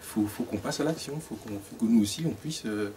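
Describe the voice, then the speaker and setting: A man's voice: on the low side, a little fast, crowd noise. A man from Gabon introducing himself to a group, hesitant with many fillers and restarts. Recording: distant mic, faint room noise.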